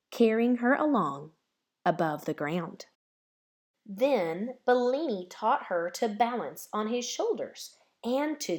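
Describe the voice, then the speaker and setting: A woman reading a children's picture book aloud with expressive, measured phrasing. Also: on the high side. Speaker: storybook reader.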